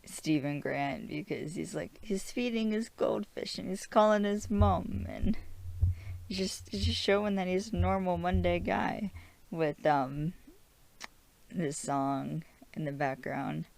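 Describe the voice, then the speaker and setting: A woman's voice: on the low side, slow pace, creaky, a little mellow, dry recording. A woman hosting a fan show, recapping a TV episode in a casual, chatty way with lots of fillers and small giggles. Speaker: podcast host.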